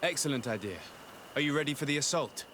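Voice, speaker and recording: A man playing a composed noble diplomat and tactician in a video game, speaking formally and in a measured way. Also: a little high, fairly bright tone, close to an everyday voice. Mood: courteous. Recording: outdoor ambient noise behind voice.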